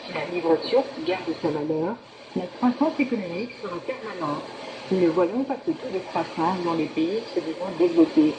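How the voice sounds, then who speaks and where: Woman reading radio news all formal n measured, echoey shortwave with clear noise behind. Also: lowish voice. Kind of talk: scripted news bulletin reading. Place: broadcast heard through a shortwave receiver. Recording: noisy, echoing shortwave radio reception.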